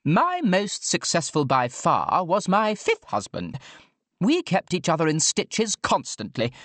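A man voicing a jolly, brazen older woman with a posh English accent and a plummy, booming, blithely theatrical manner in an audiobook. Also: huge pitch swings, unhurried, a bit bright, dry recording, exaggerated voice. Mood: breezy, cheerful. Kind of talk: audiobook character dialogue.

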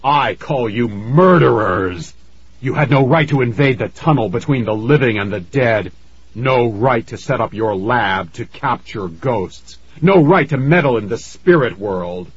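A man's voice: fairly low pitch, takes it slowly, slightly rough, no room sound, dull audio. A man voicing a vengeful male ghost villain in a children's horror audiobook, menacing and declamatory. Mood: raging.

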